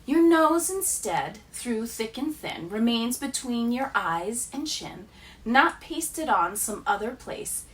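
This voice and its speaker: A woman teacher reading children's poems aloud with a deliberate, expressive storytelling delivery. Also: on the high side, recorded from a distance, a little hiss or hum, poor audio.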